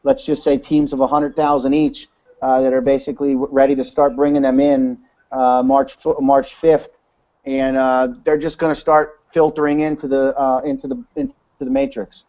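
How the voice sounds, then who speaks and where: A man's voice: mid-range voice, normal speed, mellow. A man giving a casual, rambling webinar talk, full of fillers and restarts. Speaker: webinar presenter. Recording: dull lo-fi online call audio.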